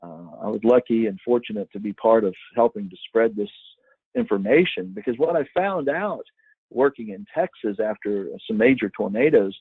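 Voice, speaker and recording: A middle-aged man with a husky voice giving an informal, conversational webinar talk as presenter, explaining things and reminiscing. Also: on the low side, normal speed, dry recording. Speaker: webinar presenter, former broadcast meteorologist. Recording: muffled narrow phone-like line.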